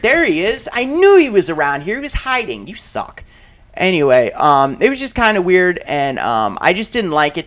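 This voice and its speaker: A man with a slightly high, raspy voice talks through a shooter game session in a loose, rambling way. He piles on fillers and restarts, and breaks off into loud shouts at the action. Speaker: gaming channel host. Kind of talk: casual commentary while playing a shooter game.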